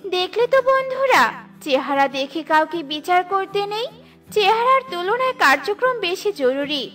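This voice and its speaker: Female storyteller, very high pitch, scripted kids' tale narration, music behind. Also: very animated, unhurried, fairly bright tone.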